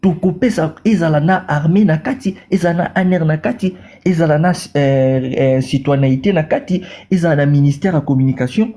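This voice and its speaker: A man's voice: fairly high pitch, moderate pace, mellow. A man from Congo with a Congolese French accent, speaking passionately in a political debate and repeating words as he goes. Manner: repeats words, mixes in Lingala. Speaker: caller in a debate. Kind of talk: impassioned political talk.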